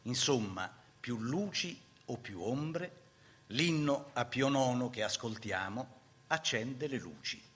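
A man's voice: medium pitch, expressive, slow pace. A man narrating scripted history in a formal, rhetorical manner.

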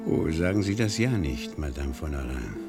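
A worldly, sardonic reporter from a seventies radio play. His very low voice moves with lively swings and dry emphasis. Music plays clearly behind him. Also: moderate pace, exaggerated voice. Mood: wry, chatty. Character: worldly, sardonic French war reporter at a spa. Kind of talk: 1970s German radio play acting.

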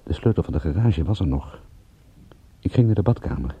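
A man playing a world-weary, tender private detective in a radio drama, speaking in a measured, intimate way. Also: sits low, lively intonation, slightly rough, phone-quality sound, full character voice. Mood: reflective. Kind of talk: radio drama acting with first-person narration.

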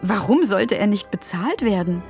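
A woman's voice: mid-range voice, expressive, moderate pace, a little mellow, soft background music, lightly acted. A woman voicing a polite, plain-spoken young wizard boy in an audiobook, questioning and concerned. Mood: baffled. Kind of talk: audiobook character voice.

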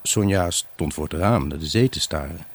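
A brooding, troubled husband in a radio drama. His voice is low and husky, with a rough grain. He recounts his unhappy marriage as an intimate dramatic monologue. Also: moderate pace. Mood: reflective, weary. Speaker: actor narrating a radio drama. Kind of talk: dramatic first-person monologue in a Dutch radio play.

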